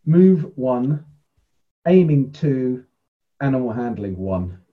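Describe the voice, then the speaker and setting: A man's voice: medium pitch, very animated, slow, phone-quality sound. A man casually running a tabletop role-playing game and explaining the rules as game master.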